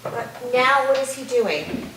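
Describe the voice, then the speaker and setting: A woman's voice: high-pitched, animated, slow pace. A woman playing a skeptical, irritable college student in a staged play reading, dry and sarcastic. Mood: annoyed, sarcastic. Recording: very echoey room, distant, noisy.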